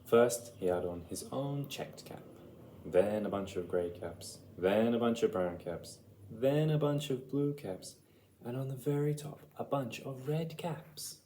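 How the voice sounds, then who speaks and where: Male storyteller, picture-book read-aloud, unhurried pace, soft dark tone, dramatic, deliberate. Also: mid-range voice, slight background noise. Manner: deliberate, dramatic, with repeated phrases.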